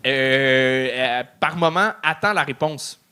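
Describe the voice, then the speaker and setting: A man's voice: medium pitch, takes it slowly, sharp tone, smooth. A man with a Québécois accent giving a comedian thoughtful, coaching feedback on stage technique. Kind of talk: casual critique.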